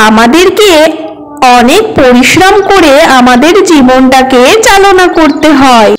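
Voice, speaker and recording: A woman's voice: sits high, poor audio. A woman storyteller reading a scripted children's tale as narrator.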